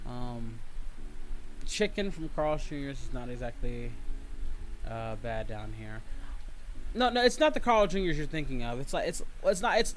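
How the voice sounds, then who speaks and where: A man's voice: medium pitch, slight background noise, poor audio. A man hosting a casual livestream chat, talking informally and swearing freely. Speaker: livestream host.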